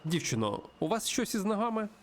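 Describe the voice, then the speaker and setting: A man's voice: sits high, big pitch swings, brisk, slight background noise, pitched above the performer's own voice. A man voicing an indignant, sarcastic man in his fifties from a visual novel, scolding in a caustic manner.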